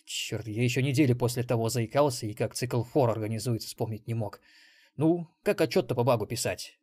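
A man's voice: mid-range voice, fairly quick, a little mellow, no room sound, studio quality, natural voice. A man voicing a sarcastic, easily irritated male programmer who grumbles theatrically in an audiobook. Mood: reluctant.

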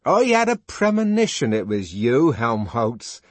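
A man acting an ardent, high-strung young lover. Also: expressive, a little mellow, breathy edge, dry recording, full character voice. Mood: excited. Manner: fervent, declamatory, halting. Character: ardent, anguished, reverent young man in love.

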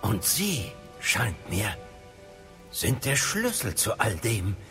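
An actor playing an earnest older male professor of magic with a measured, thoughtful delivery in an audio drama. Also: lowish voice, sing-song, sharp tone, soft background music, heavily acted. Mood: awed, grave.

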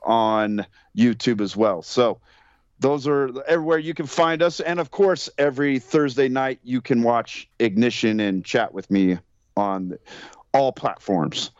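A man speaking casually and a little haltingly as a podcast guest, listing where to follow him. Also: medium pitch, no room sound. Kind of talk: casual plugging of social media. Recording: dry, slightly dull audio.

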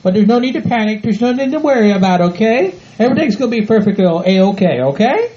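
A woman's voice: on the high side, very animated, fast, dark tone. A woman voicing a formal male servant who keeps reassuring everyone in a stiff, soothing way. The recording sounds dull and lo-fi. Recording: dull lo-fi audio.

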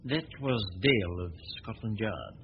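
An actor playing a suave, genial gentleman detective who talks in a relaxed, urbane way, in 1940s radio acting. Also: fairly low pitch, measured pace. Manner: relaxed, urbane, easy chuckles. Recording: muffled hissy 1940 radio recording.

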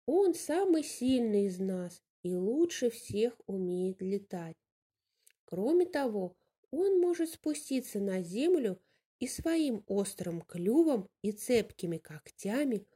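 A woman's voice: mid-range voice, sing-song, slow pace, dark tone, husky, exaggerated voice. A woman voicing a shrewd female bird in a fairy tale, making an assertive case to the flock. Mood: urging. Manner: assertive, reasoning speech to a gathering. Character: shrewd female bird urging fellow birds on.

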